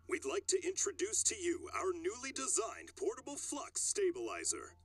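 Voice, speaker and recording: A formal male spokesperson acted by a man in a story game. His fairly high voice is husky and swings widely in pitch as he declaims his presentation. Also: huge pitch swings, moderate pace, no echo, exaggerated voice. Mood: formal, promotional. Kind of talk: acted product-launch speech.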